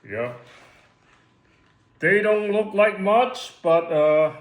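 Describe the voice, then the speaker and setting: A man's voice: high voice, expressive, drawn-out words. Middle-aged man in an echoey room. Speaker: channel co-host. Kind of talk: casual chat. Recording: roomy, echoing sound.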